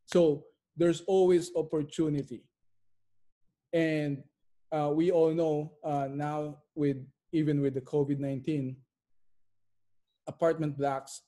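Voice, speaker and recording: A man's voice: a little high, warm tone. A middle-aged man answering interview questions conversationally as a guest, repeating phrases for emphasis. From immigrant to Canada. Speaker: interview guest, real estate investor. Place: bright living room at home.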